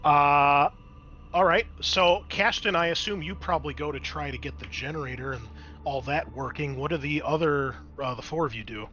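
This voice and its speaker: Bright-voiced man running a tabletop game. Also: a little high, normal speed, dull audio. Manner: conversational, explanatory. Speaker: game master. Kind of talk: improvised narration of a tabletop horror game.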